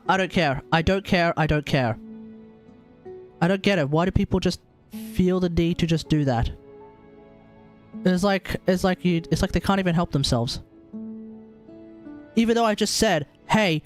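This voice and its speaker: A man giving casual livestream commentary on a game, often halting and repeating himself. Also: high-pitched. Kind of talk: casual live stream commentary. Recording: game music faintly behind.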